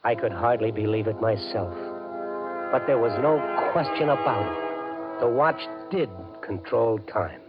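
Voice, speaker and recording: An actor playing a desperate, excitable gambling everyman in a 1950s radio suspense drama, with intense, emphatic delivery. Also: medium pitch, a little slow, natural voice. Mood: brooding narration. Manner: intense, muttering to himself, emphatic. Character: desperate gambling-addicted everyman bank worker, excitable and brooding. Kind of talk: 1950s radio suspense acting, first-person narration and dialogue. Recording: dull 1950s radio recording, loud music.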